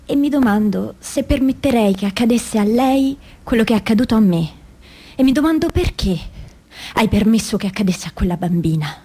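A woman's voice: fairly high pitch, lively intonation, moderate pace, warm tone, husky. An actress playing an anguished mother who gives an intense, confessional testimony of past abuse in a dramatic stage monologue. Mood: grieving, resolute. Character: anguished mother recounting abuse by a priest in girlhood.

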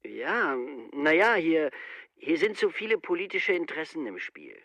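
A man's voice: on the high side, big pitch swings, fairly bright tone, no echo, muffled recording, exaggerated voice. An actor playing a gullible, bashful small-town family man in a radio drama, speaking hesitantly and naively. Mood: doubtful, hesitant. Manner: hesitant, naive, halting, tags phrases with "eh".

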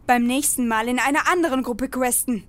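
Woman playing a high-voiced, sarcastic adventurer girl. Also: a little fast, fairly bright tone, dry recording. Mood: exasperated. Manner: sarcastic, scolding. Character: exasperated, sharp-tongued female online-game adventurer. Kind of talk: comedy audio play dialogue.

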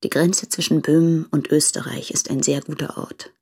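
Low, husky, yearning woman. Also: flat delivery, normal speed, darkish tone, heavily acted. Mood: urgent longing. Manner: earnest, pleading. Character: yearning, urgent woman in love, pleading by letter. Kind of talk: intimate literary radio drama.

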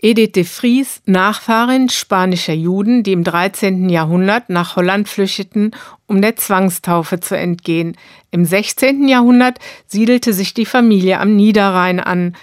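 A woman narrates a radio documentary in a calm, measured voice. The studio sound is dry, with no room echo at all. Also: mid-range voice.

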